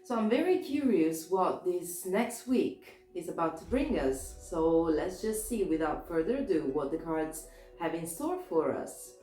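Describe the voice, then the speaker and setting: A woman with a sore throat, a little hoarse, giving a casual tarot reading in a chatty, hesitant way with many restarts. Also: lowish voice, animated, measured pace, dark tone, echoey room, faint music behind. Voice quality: slightly hoarse. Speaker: tarot reader.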